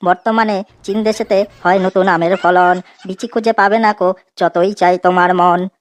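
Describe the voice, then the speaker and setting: A woman's voice: lowish voice, fairly even intonation, moderate pace, close to an everyday voice. A woman as a cheeky cartoon schoolboy, narrow-ranged. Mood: proud, reciting. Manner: naive, wheedling, colloquial. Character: cheeky dim schoolboy, a self-styled poet. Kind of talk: comedy cartoon dialogue.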